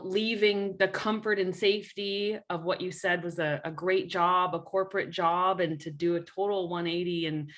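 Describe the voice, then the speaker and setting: A woman's voice: mid-range voice, fairly bright tone. A woman hosting a podcast and talking with her guest, chatty and encouraging, often adding "you know". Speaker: podcast host, coach. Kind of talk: casual podcast conversation.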